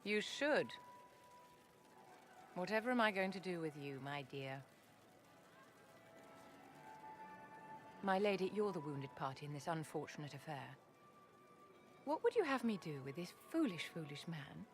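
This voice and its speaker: An actress playing a haughty, commanding aristocratic enchantress in a video game, speaking with measured, condescending politeness. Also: female voice, on the low side, animated, soft background music. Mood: icy, menacing. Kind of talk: dramatic video game acting.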